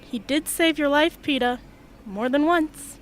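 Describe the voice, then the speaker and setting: A woman's voice: high-pitched, unhurried, a little hiss or hum. A woman playing a chatty, kindly girl who is eager to please, in an amateur radio drama. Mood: friendly.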